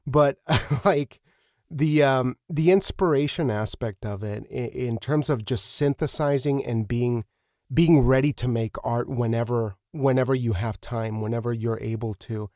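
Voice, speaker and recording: A man's voice: mid-range voice, unhurried, darkish tone, no room sound. A man with a mid-range voice hosts a casual interview. He searches for his wording, circling and repeating as he builds a question. The recording is dry and free of room sound. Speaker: podcast host, interviewer.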